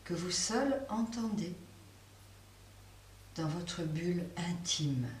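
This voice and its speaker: A woman teaching a guided yoga nidra relaxation, speaking softly with long pauses. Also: on the low side, lively intonation. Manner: slow, soft, pausing guidance. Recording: roomy, distant mic with faint hiss.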